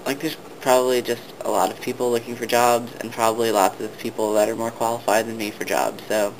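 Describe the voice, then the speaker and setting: A man's voice: mid-range voice, brisk, poor audio. A young man talking casually to the camera, hesitant and full of ums and restarts. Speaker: vlogger. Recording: steady background noise.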